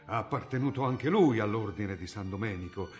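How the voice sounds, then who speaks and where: An actor playing a timid, pious, learned medieval friar in a radio drama, speaking in a halting, deferential way. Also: male voice, on the low side, a little mellow, faint music behind, lightly acted. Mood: hesitant, reflective.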